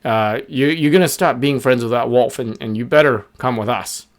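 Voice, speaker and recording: Man playing a loud, bossy storybook bird. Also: mid-range voice, normal speed, natural voice. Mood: pushy. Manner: scolding, pushy. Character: bossy, skeptical gang of small male birds, threatening.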